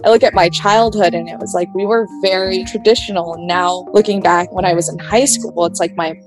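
A woman's voice: fairly low pitch, steady tone, brisk. A woman whose first language is Vietnamese, from New Mexico, telling her life story as a podcast guest in a casual, thoughtful way with many fillers. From New Mexico, USA. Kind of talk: reflective personal storytelling. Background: soft music.

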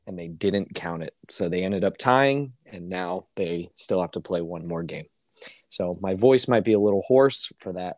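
A man co-hosting a casual sports podcast, hoarse and ranting with exasperation, his voice climbing when worked up. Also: lowish voice, a little mellow, no echo. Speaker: podcast co-host.

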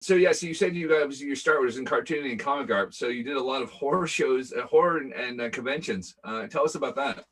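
A man's voice: medium pitch, fast talker, few pauses, a bit bright. A man hosting a casual show, upbeat and enthusiastic. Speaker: host. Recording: distant, husky mic sound.

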